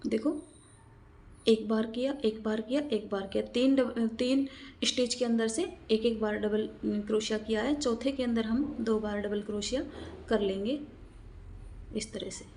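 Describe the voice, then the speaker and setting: Higher-voiced woman giving step-by-step instructions. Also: steady tone, a little slow, a little mellow, slight background noise. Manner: repetitive, instructional, counting aloud. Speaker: craft tutorial instructor. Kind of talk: step-by-step crochet tutorial narration.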